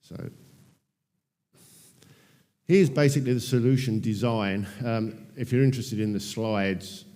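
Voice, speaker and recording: A man's voice: medium pitch, measured pace, pauses often. Englishman speaking in a reverberant hall. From England. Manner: relaxed, many ums, dry self-deprecating asides. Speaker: conference presenter. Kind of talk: technical conference talk. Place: large conference hall.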